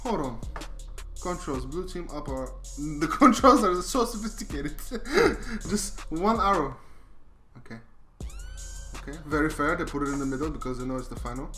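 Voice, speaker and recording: An excitable man calls a silly soccer game like a mock commentator, his bright voice leaping up and down in pitch. He laughs often and talks into a desk mic, with game music playing behind him. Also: normal speed. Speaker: gaming channel co-host. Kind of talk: casual gameplay commentary. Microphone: desk mic, no camera.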